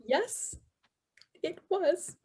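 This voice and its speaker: A grief-stricken, guilt-haunted poet, acted by a woman, speaks in a high voice at a very slow pace. The delivery is halting and theatrical, made for a radio play full of solemn verse and sorrow. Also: lively intonation, warm tone, heavily acted. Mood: subdued grief. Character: grieving, guilt-haunted solitary poet and scholar. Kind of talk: stage radio-play acting, verse recitation.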